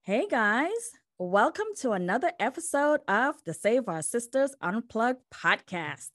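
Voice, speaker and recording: A woman's voice: a little high, very animated, unhurried, a bit bright, no echo, clean studio sound. A woman hosting a podcast interview, upbeat and encouraging with her guest. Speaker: podcast host.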